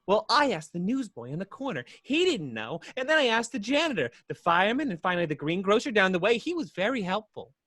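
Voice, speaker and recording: A woman's voice: medium pitch, quick pace, hardly any pauses, no echo, studio quality. A woman voicing a frantic, pleading, naive male cartoon rabbit in an amateur table read of a film script. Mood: earnest chatter. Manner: pleading, excitable.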